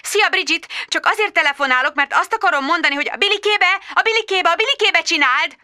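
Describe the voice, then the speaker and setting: A frazzled young mother, voiced by a woman reading a storybook chatters on the phone, chirpy with a sarcastic edge. Her voice is high and lively, recorded close and dry. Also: no echo, exaggerated voice. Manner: chirpy then sarcastic, quick.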